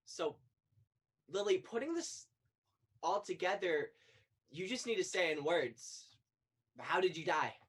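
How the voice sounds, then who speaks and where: A young actress plays an earnest spirit guide to the afterlife in a one-act play. The delivery is probing, solemn and urgent, with pitch that moves widely from phrase to phrase. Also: female voice, expressive, unhurried, pauses often, husky. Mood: probing, insistent. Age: young adult. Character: earnest, insistent afterlife guide questioning the dead. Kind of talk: student one-act stage play acting.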